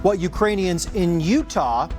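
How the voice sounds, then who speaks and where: A man's voice: high voice, lively intonation, unhurried, a little mellow, soft background music. A man with an American accent reading scripted television news as an anchor.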